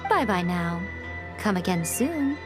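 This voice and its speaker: A woman's voice: lowish voice, big pitch swings, measured pace, music in the background. A woman playing a teasing, cryptic, self-assured and intimidating lady in an anime-style video game. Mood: mocking. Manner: sly, composed, mocking.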